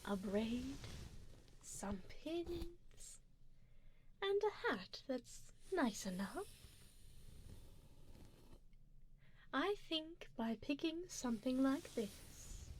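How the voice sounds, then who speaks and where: A woman playing an elegant, doting vampire duchess in an ASMR roleplay, speaking softly and breathily, often in whispers, with formal, coaxing deliberation and faint menace. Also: high-pitched, slight background noise, full character voice. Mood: tender, musing. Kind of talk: ASMR roleplay, soft personal-attention scene.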